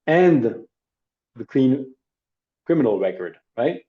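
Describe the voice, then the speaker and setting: A man's voice: mid-range voice, slow pace. Middle-aged man giving a video-call lesson. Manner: relaxed, conversational, with hesitations and asides. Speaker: workshop instructor. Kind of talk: live online training demo. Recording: dull lo-fi video call audio.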